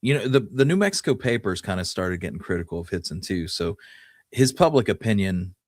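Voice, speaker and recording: A man's voice: low voice, sing-song, fairly quick, no echo, clean studio sound. A man co-hosting a podcast, telling history casually and thinking aloud as he goes. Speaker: podcast co-host. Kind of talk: casual history discussion and storytelling.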